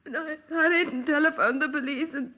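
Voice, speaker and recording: Woman acting tearful middle-aged widow, really high voice, dull old 50s radio drama. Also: steady tone, brisk, lightly acted. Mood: shaken, anxious. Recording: dull 1950s radio recording.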